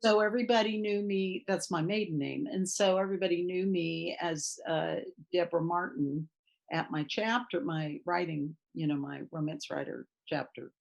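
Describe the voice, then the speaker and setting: Gravelly low-voiced woman chatting. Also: normal speed, muffled recording. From Thailand. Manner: chatty, laughs while telling anecdotes. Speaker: guest author. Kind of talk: casual interview chat.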